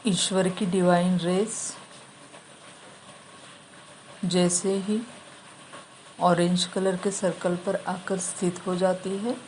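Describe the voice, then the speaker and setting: A woman leading a guided meditation, giving instructions in short, measured phrases with long pauses between them. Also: fairly low pitch, flat delivery, a little mellow, slight background noise, poor audio.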